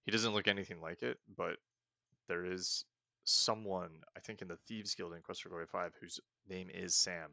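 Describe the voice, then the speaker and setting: A man's voice: low voice, steady tone, normal speed, vocal fry, sharp tone, dry recording. A man casually commenting while he plays a video game, thinking aloud and hedging often. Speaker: let's play host.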